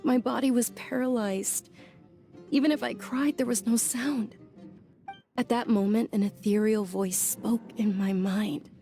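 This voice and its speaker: A woman voicing a gentle, polite young noblewoman who talks softly and earnestly in a game cutscene. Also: fairly high pitch, fairly even intonation, measured pace, soft background music. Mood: reminiscing. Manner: soft-spoken, courteous, earnest storytelling. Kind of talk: video game cutscene voice acting.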